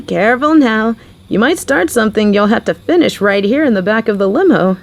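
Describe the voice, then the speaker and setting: A woman's voice: a little high, lively intonation, normal speed. A woman playing a flirty, possessive and unhinged female mafia boss in a roleplay audio drama, theatrical in her delivery. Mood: flirty, teasing. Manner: theatrical, swinging from sweet cooing to manic shouting and muttering.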